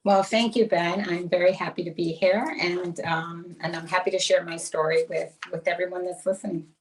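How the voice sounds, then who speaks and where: A woman's voice: fairly low pitch, steady tone, moderate pace. A middle-aged American woman telling her own story as a podcast guest, candid and earnest. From United States, Massachusetts and Florida. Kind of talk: reflective personal storytelling in an interview. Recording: voice distant from the mic.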